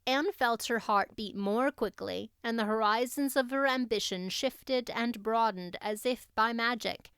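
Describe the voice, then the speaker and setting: A woman's voice: a little high, measured pace, few pauses, a bit bright, clean tone, no echo, studio quality. A woman audiobook narrator reading a classic novel expressively.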